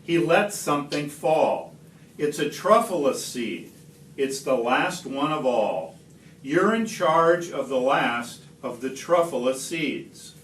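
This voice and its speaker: A man reading a rhyming picture book aloud expressively, slowly and deliberately, with dramatic pauses. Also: a little high, lively intonation, a bit bright. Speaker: read-aloud storyteller. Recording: roomy, distant phone capture, faint noise.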